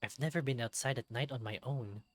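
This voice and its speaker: A man's voice: medium pitch, brisk, no room sound. Man narrating a story, very dry sound. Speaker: narrator of a visual novel let's read. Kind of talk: expressive scripted story narration.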